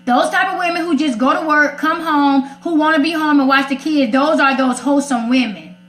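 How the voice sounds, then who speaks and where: A woman's voice: sits high, a little fast, a bit bright, faint music behind. An American woman hosting a casual radio chat, outspoken, sassy and emphatic as she gives her opinions. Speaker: radio segment host. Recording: roomy echo.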